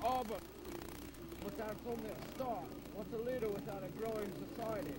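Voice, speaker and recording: A man's voice: high-pitched, normal speed, lightly acted. A man voicing an earnest, curious worker in an amateur audio drama, on a very noisy old cassette. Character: earnest, curious worker questioning his society.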